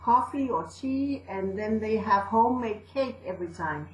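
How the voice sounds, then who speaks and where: A woman's voice: medium pitch, expressive, moderate pace, roomy sound, low-quality recording. A woman from Denmark with a Danish accent, a storyteller and educator chatting in an interview with fillers and restarts. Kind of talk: casual interview talk.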